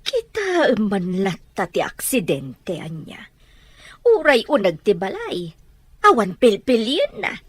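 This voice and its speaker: A woman's voice: medium pitch, wildly swooping pitch, slow, husky, no room sound, exaggerated voice. A woman with a Filipino accent playing a sympathetic middle-aged friend in an audio drama. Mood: shocked, sympathetic.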